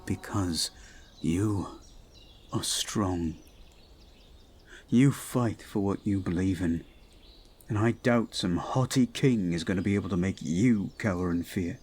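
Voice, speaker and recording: Man acting a low-voiced, unhurried, gentle prince. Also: a little hiss or hum. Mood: tender, reassuring. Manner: gentle, soothing, measured, slightly formal phrasing. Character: devoted, protective young royal prince reassuring his betrothed. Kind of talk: intimate scripted audio roleplay, speaking to the listener.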